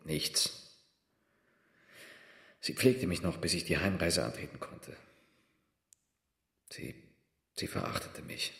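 An actor playing a bitter, self-doubting sculptor and war veteran in radio play dialogue, brooding and sardonic. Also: male voice, low-pitched, lively intonation, creaky, fairly bright tone, echoey room, heavily acted. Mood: self-reproachful. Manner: brooding, sardonic, halting when confessing.

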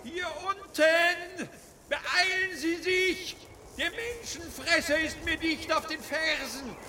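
An actor playing an anxious, fussy, very old fairy-tale collector in a children's radio play, excitable and pleading in a theatrical way. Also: male voice, extremely high pitch, takes it slowly, slight background noise, exaggerated voice. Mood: frightened, breathless.

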